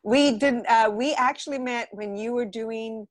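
A woman's voice: on the high side, measured pace, no echo. A woman with an American accent chatting casually as an interview guest who works as a theater director. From Los Angeles area, United States. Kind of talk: casual conversation.

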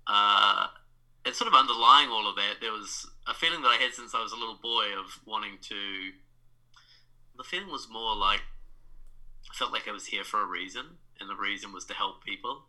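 A man in his thirties with a New Zealand accent speaks as a podcast guest, his voice sitting rather low yet sounding very bright and crisp. He talks reflectively, full of small fillers and restarts. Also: brisk, low-quality recording. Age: 30s. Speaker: guest, life coach. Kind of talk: casual podcast interview.

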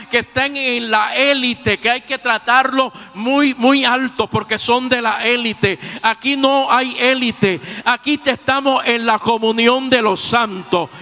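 A Puerto Rican man in his sixties preaching from the pulpit in a high, bright, ringing voice. He swings from shouted fervor down to near-murmurs and keeps dropping a praise phrase between lines. His voice hangs in the long reverb of a large church hall. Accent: Puerto Rican Spanish. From Puerto Rico. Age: 60s. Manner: preaching with sudden shouted peaks and drops to a soft, low register; repeats a stock praise phrase between sentences. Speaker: pastor. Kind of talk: sermon.

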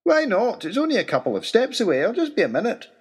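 A man performs a dotty male professor from an audio adventure story, pitched very high. He is vague and easily flustered, innocently stubborn, and his voice swoops about with a slightly husky edge. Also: huge pitch swings, fast talker, heavily acted. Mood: blithely insistent. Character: absent-minded, brilliant old male professor, dotty and sheepish.

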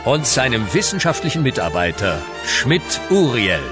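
An actor playing a pompous, mock-solemn storyteller, narrating a comic cosmic radio serial with dramatic flourish. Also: male voice, mid-range voice, sing-song, normal speed, music in the background, natural voice.

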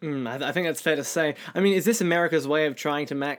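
A man's voice: on the high side, fast. A man with an Australian accent, hosting a podcast and interviewing a guest in a chatty way. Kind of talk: podcast introduction and interview chat.

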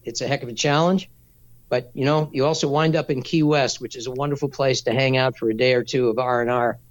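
A man answers interview questions in a steady, measured voice of middling pitch. He explains things practically and patiently, with the odd filler. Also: poor audio. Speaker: race director.

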